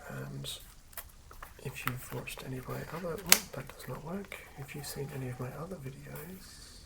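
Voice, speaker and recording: A person whispering softly and haltingly in an unhurried ASMR style, as a host talking through and reading tabletop game material. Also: medium pitch. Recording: lo-fi whisper with clear background noise.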